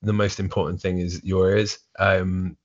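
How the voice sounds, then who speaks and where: A man's voice: deep voice, little pitch movement, unhurried. A man talking about his past as a podcast guest, chatty and meandering. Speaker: guest drummer. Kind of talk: casual storytelling. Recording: dull lo-fi audio.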